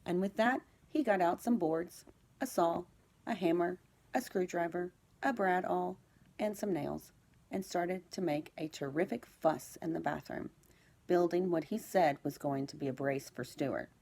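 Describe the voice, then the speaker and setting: A woman teacher reading a children's storybook aloud, phrasing it clearly and expressively for young listeners. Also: on the low side, measured pace, a little mellow, slight background noise.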